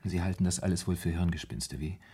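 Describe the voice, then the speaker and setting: An actor in a 1970s German radio play, playing a troubled, brooding man confessing his fear of madness in halting, dramatic storytelling. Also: deep voice, gravelly, mellow, slight background noise. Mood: anguished, defensive.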